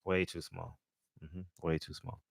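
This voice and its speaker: A man's voice: low voice, level tone, unhurried, vocal fry. A man in his forties hosting a call-in show, chatting casually with callers in a laid-back, joking, slangy way. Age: 40s.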